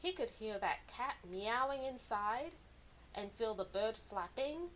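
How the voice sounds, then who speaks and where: A woman narrates a picture book for young children in a fairly high voice. Her delivery is slow and deliberate, with animated, dramatic emphasis on key words. A faint hiss sits behind the recording. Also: poor audio. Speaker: children's read-aloud storyteller.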